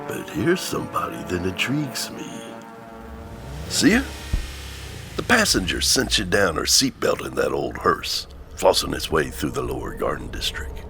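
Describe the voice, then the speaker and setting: A sly demonic narrator, extremely deep and swooping wildly in pitch. He savors each morbid phrase with theatrical relish, as in a horror audio drama with music underneath. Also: creaky, heavily acted. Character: sly devilish male spirit narrator relishing death and darkness.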